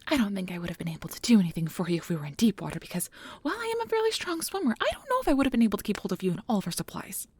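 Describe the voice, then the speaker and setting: A woman playing a nervous, caring young magic researcher in an ASMR audio drama, rambling in a flustered, halting, apologetic way. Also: medium pitch, sing-song, a little mellow, husky, no echo. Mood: explaining, flustered.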